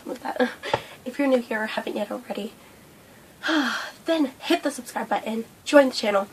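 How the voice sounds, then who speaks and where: A young woman vlogging a breakfast mukbang, hoarse and losing her voice from a cold, rambling with lots of fillers. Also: on the high side, moderate pace, slight background noise. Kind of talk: casual mukbang vlog chat. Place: indoors at home under a hot light.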